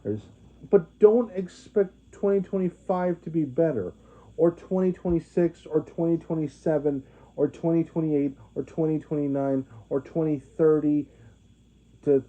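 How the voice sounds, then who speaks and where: A man in his twenties rambling to his viewers in a monologue, halting often with restarts and fillers. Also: on the high side. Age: 20s. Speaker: vlogger. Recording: phone-like narrow sound.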